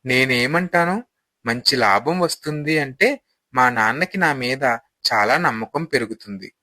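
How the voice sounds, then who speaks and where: A man's voice: mid-range voice, lively intonation, measured pace, pushed and bright, dry recording. A man playing an eager, gullible young son in a moral story, trusting and earnest.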